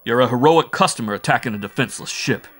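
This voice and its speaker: A man's voice: mid-range voice, lively intonation, a little fast, voice pushed up, natural voice. A man voicing a big, hot-headed, blustering spaceman in a story reading, blunt and boisterous. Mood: scolding. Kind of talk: audiobook character voice.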